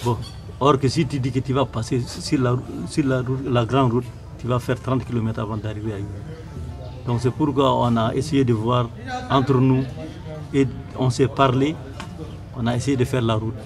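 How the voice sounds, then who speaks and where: A man's voice: mid-range voice, steady tone, normal speed, over music. A man speaking in a documentary interview, plainly and sometimes searching for words.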